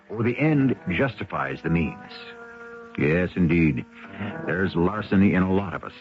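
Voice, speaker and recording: A man hosting a radio mystery series, giving scripted dramatic narration with wry, formal phrasing. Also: low-pitched. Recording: dull old radio broadcast with music.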